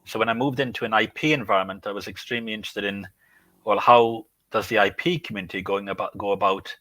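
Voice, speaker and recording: Uk guy, podcast guest talking from home, bright crisp voice, dry sound, calm and explainy. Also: lowish voice, dry recording. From United Kingdom. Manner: measured, explanatory. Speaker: guest, IP consultant. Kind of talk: interview answers.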